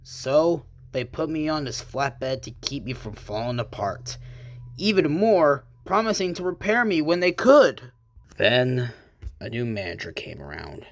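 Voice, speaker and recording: A man voicing a battered old male rescue engine in a fan comic dub, weary, stoic and gentle. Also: medium pitch, lively intonation, a little slow, no echo. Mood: wry, resigned. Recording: music under the voice.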